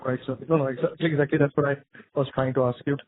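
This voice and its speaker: A man asking questions as an analyst on a conference call, halting and deferential. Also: mid-range voice, little pitch movement, no room sound. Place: conference call over phone line. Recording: narrow dry phone-line audio.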